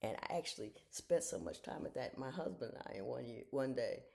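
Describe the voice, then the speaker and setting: Sixties lady chatting from home at sunrise, frank and folksy, kinda gravelly, goes all whispery sometimes. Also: a bass voice, animated, a little fast. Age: 60s. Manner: frank, folksy, drops into soft half-whispered asides. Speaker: retired judge giving life advice. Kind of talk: casual reflective talk to viewers. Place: home in the early morning. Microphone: camera she leans toward.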